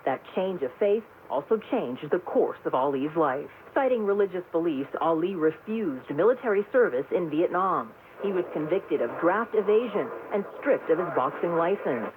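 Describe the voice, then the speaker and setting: A woman's voice: lowish voice, lively intonation, normal speed, hardly any pauses. A woman giving a scripted news report as a radio correspondent. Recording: tinny noisy AM radio.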